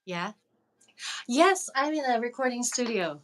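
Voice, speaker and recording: A woman who was born in the Philippines and grew up in Los Angeles, an indie music artist speaking from a recording studio as an interview guest, chatty and quick to laugh. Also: a little high, expressive, slight background noise. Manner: bubbly, laughs often, chatty. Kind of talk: casual interview over a call.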